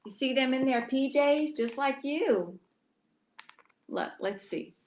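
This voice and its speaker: A woman from Louisiana reading a bedtime story aloud to children in an expressive way, speaking directly to her young listeners. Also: high-pitched, takes it slowly, roomy sound, background noise.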